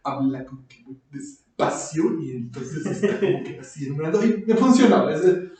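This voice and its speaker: A man's voice: fairly high pitch, animated, moderate pace, dark tone. A man with a Mexican accent co-hosting a podcast, talking about comics in casual, slangy, nerdy fashion. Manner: slangy, self-described nerd. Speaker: podcast co-host. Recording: very echoey room, far from mic.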